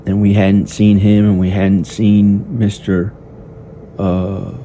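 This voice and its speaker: Low, dark-voiced man storytelling in monotone. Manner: hesitant, halting, childlike simple phrasing with repetition. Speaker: amateur storyteller narrating own improvised story. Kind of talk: improvised first-person storytelling. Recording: noticeable background noise. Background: ambient sound effects.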